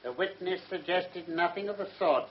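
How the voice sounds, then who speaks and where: A man playing a stern, impatient British High Court judge in a radio drama, curt, pompous and authoritative. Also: moderate pace, a little hiss or hum, close to an everyday voice. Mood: stern rebuke. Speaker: actor. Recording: muffled vintage radio drama recording.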